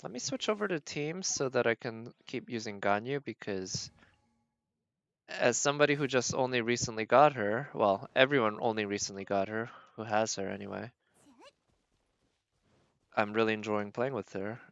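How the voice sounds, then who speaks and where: A man with a mid-range voice streams a game, commenting casually as he goes. He thinks out loud and talks through each of his choices. The sound is dry and a little dull. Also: medium pitch, moderate pace, gravelly, dry recording. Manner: thinking aloud, narrating own decisions. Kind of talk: casual live gameplay commentary.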